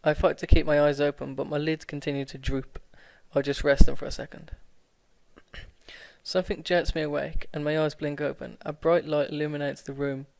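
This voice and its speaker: A man's voice: a little high, level tone, normal speed, creaky, a little mellow, dry recording. A man hosting a let's play, reading a visual novel aloud with stumbles, self-corrections and laughs.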